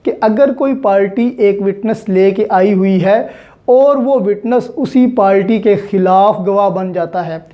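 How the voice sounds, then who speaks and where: A woman's voice: medium pitch, unhurried, muffled recording. A woman with a Pakistani accent teaches law in an explanatory lecture, mixing in English legal terms and restating key points didactically.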